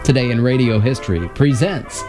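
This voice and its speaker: A man's voice: medium pitch, normal speed, over music. A man hosting a show, reading a scripted introduction and promotion. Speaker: show host.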